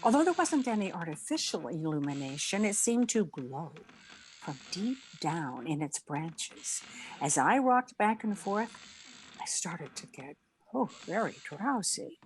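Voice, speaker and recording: A woman's voice: on the low side, big pitch swings, measured pace, slight background noise. A woman playing a wry, wisecracking single woman with dry, sardonic delivery in an old-time radio comedy. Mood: drowsy wonder. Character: Miss Brooks, wry, wisecracking single woman, a cat owner.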